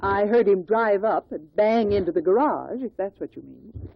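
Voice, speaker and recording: A woman's voice: medium pitch, animated, moderate pace, gravelly, husky. A woman playing a tart, defiant older American mother-in-law giving testimony in a 1950s radio drama, blunt and snappish. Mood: guarded. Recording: dull lo-fi 1950s radio recording.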